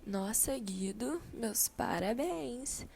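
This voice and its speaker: A woman's voice: on the high side, animated, extremely slow, vocal fry, dark tone, breathy edge, exaggerated voice. A woman with a Brazilian accent playing an encouraging schoolteacher who addresses her class informally in an amateur radio play. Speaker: teacher leading a class discussion.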